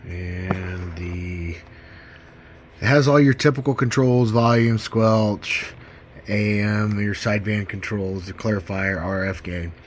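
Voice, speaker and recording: A middle-aged man with a fairly low voice gives a casual, hands-on product demo. He sounds folksy and keen, like a hobbyist chatting to fellow fans. There is some faint noise in the recording. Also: steady tone, slow pace. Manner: folksy, enthusiastic, informal. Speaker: hobbyist video host.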